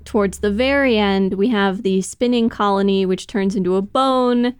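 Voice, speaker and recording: A woman's voice: medium pitch, normal speed, clean tone, no room sound, studio quality. A woman co-hosting a podcast, giving informative commentary in casual chat with light laughs.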